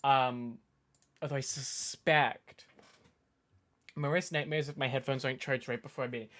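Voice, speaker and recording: A woman's voice: extremely low pitch, fairly even intonation, moderate pace. A woman from the suburbs outside Boston with a light Boston accent, chatting casually with her stream chat and rambling through restarts.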